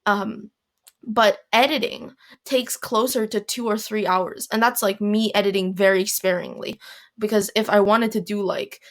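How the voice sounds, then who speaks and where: A teenage girl talks alone into a home mic for her podcast. Her voice is hoarse and raspy, sitting at an ordinary mid pitch, and she rambles along with fillers, restarts and self-deprecating asides. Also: fairly even intonation, normal speed. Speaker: podcast host. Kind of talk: casual solo podcast monologue. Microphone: home recording mic.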